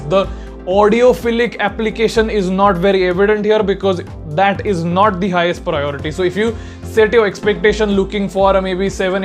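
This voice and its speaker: A young man giving a casual, explanatory product review in an informal way. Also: high-pitched. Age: young adult. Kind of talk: casual spoken review to camera.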